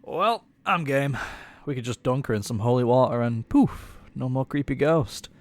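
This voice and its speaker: Flippant man on an exorcist team actor, medium pitch, heavy fry, breezy delivery, audio roleplay. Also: expressive, normal speed, lightly acted. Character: flippant, game male member of exorcist team.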